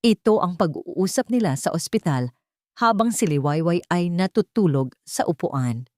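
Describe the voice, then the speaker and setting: A woman's voice: on the low side, expressive, moderate pace, darkish tone, no echo, studio quality. A woman delivering dramatic scripted story narration.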